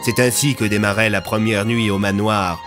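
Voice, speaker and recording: A man's voice: fairly low pitch, steady tone, normal speed, over music. A man narrating a scripted audio drama as a storyteller.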